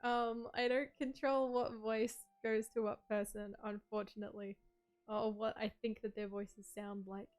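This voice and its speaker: A woman's voice: fairly high pitch, level tone, a little slow, smooth, faint music behind. A woman chatting casually on a livestream, rambling with lots of "like" and "um" and laughing often as she reads out viewer messages.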